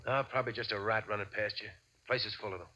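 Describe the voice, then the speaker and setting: A man's voice: on the low side, fairly even intonation, quick pace, bright, forceful tone. A man playing an injured, sardonic ex-convict bank robber in a 1940s radio drama, terse and dryly defiant. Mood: guarded calm. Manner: terse, dry, tough-talking. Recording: muffled old radio recording.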